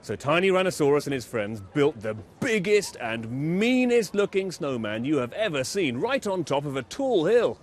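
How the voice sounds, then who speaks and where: A man telling a children's bedtime story in an expressive, dramatic way, leaning on key words. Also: fairly high pitch, normal speed, few pauses.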